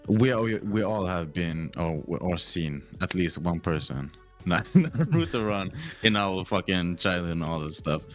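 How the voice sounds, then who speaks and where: A young man chatting casually, halting and repeating words as he goes, with casual swearing. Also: deep voice, expressive, soft background music. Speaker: podcast co-host. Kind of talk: casual chat.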